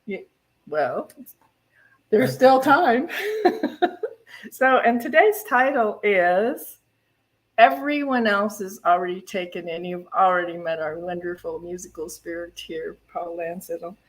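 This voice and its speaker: A woman's voice: lowish voice, a little slow. A woman minister hosting a spiritual service with casual announcements and chatty, giggly asides.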